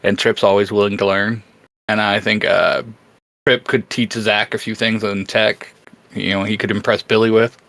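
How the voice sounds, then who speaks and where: A man's voice: lowish voice, flat delivery, normal speed, vocal fry, a bit bright, dry recording. Casual man co-host, dry close sound. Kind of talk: casual unscripted chat.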